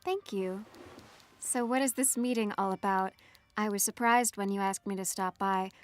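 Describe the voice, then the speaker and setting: A woman's voice: mid-range voice, fairly even intonation, normal speed, dry recording, faint music behind, natural voice. A woman playing a poised, scheming female bank teller in a noir radio drama. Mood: guarded, innocent.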